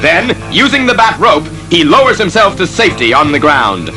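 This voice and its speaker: Superhero story narrator, ominous and dramatic, slightly high lively voice, music clearly behind. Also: male voice, moderate pace, rough recording. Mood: suspenseful.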